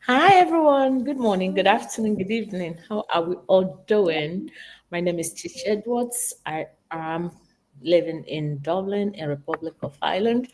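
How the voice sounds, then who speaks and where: A woman's voice: medium pitch, expressive, normal speed, a little mellow, low-quality recording. A woman casually introducing herself as a panelist on an online talk. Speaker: panelist, nurse and medical student.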